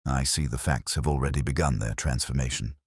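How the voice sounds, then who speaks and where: A man voicing a mild, wry, thoughtful police inspector in an audio mystery, measured, formal and dryly understated. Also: rumbling low, slightly rough, no echo, clean studio sound, exaggerated voice.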